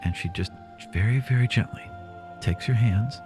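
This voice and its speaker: A man's voice: lowish voice, moderate pace, over music. A man speaking as the host and game master of a tabletop fantasy show.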